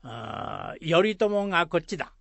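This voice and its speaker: A gravelly old country headman played by a man in a radio drama, gruff and proud, with a Shizuoka accent. He takes his time, grunting and pondering, with lively swings of pitch. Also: no room sound, heavily acted. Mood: pondering, self-important. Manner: slow, rustic, grunting hesitations.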